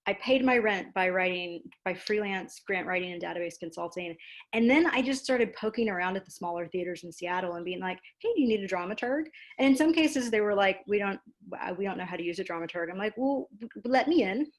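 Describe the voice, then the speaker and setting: An American woman being interviewed, speaking in a casual, animated storytelling way with self-deprecating asides. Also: mid-range voice, brisk. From Louisiana, United States. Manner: animated, self-deprecating, acts out quoted exchanges. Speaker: interview guest, theater dramaturg and producer.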